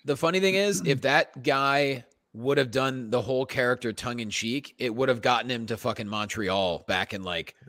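A man's voice: medium pitch, moderate pace, few pauses, fairly bright tone. A man co-hosting a comedy podcast, talking shop casually in a blunt, profane way.

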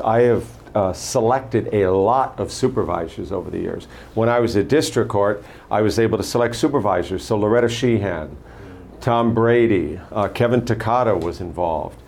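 An older man running for prosecutor, answering interview questions in a measured way and telling stories from his career. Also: on the low side, a little mellow, slight background noise. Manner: measured, anecdotal, lists names and past posts. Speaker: candidate for prosecutor.

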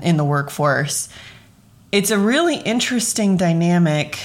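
A woman in her thirties hosting her own podcast, talking in a casual, chatty way with lots of "like" fillers. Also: fairly low pitch. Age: 30s. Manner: chatty, friendly, frequent "like" fillers. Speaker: podcast host. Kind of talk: casual solo podcast chat.

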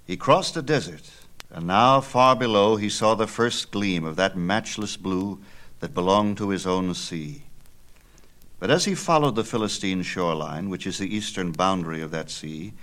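Guy with a fairly low voice reading a myth, very theatrical storyteller delivery. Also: expressive, fairly bright tone. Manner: theatrical, declamatory, measured phrasing. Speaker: narrator. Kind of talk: dramatic scripted storytelling of myth.

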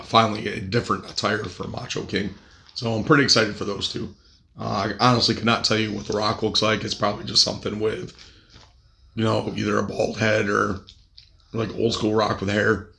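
A man hosting a podcast with crude jokes, his voice raspy from a cold. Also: lowish voice, sharp tone, a little hiss or hum. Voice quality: gravelly. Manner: crude, joking, upbeat. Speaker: podcast host. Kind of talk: casual podcast chat.

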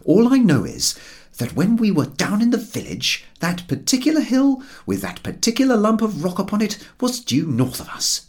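A man's voice: high-pitched, huge pitch swings, moderate pace, darkish tone, pitched above the performer's own voice, full character voice. A man voicing a sardonic, grumbling Englishman in a storybook reading, with sarcastic, emphatic, pointed delivery. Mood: sarcastic, insistent.

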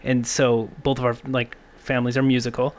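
A man's voice: medium pitch, flat delivery, brisk. A man in his thirties, guest and producer on a podcast, chatting casually and dryly with family in a home kitchen. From Canada. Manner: easygoing, dry understatement. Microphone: brought-in podcast mic.

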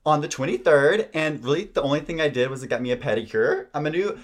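A man's voice: fairly high pitch, lively intonation, fast talker. A man from Georgia co-hosting a podcast, chatting in an upbeat, teasing way. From South Georgia, US. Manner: upbeat, teasing, laughs often. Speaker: podcast co-host. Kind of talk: casual chat.

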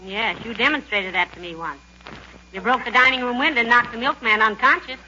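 A woman acting a patient, sensible wife, dry and gently scolding, in 1940s radio comedy style. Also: fairly high pitch, fairly quick. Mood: dryly amused. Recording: dull, noisy 1940s radio recording.

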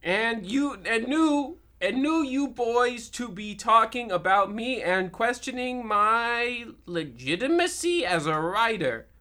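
Here is a haggard old witch, cranky and vengeful in a comic radio play. The lines are drawn out slowly and theatrically, and the pitch leaps about with every threat. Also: animated, bright, forceful tone. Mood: menacing, gloating.

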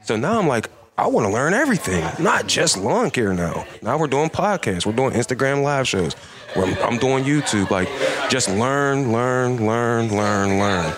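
A man's voice: medium pitch, sing-song, unhurried, crowd noise. A man in his late thirties, a lawn care business owner, talking earnestly on a casual podcast. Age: 30s. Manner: earnest, reflective, repeats words for emphasis.

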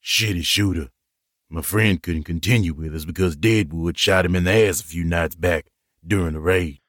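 A man voicing a crude, cocky outlaw gunfighter with a gruff, blunt growl. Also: low-pitched, normal speed, no echo, studio quality, voice pushed down, lightly acted. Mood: plain. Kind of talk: audiobook character voice.

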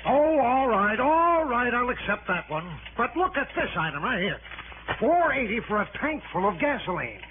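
A man's voice: very high voice, very animated, normal speed, narrow, tinny audio. A man playing a short-tempered, fussy, penny-pinching insurance official in an old-time radio drama, sputtering and querulous. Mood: querulous, suspicious. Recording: noisy, band-limited old radio broadcast.

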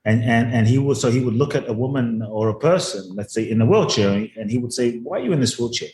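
A man's voice: medium pitch, lively intonation, fast talker, darkish tone, roomy sound. A man from Ghana, a spiritual teacher telling his life story earnestly in an interview over a video call, repeating words for emphasis.

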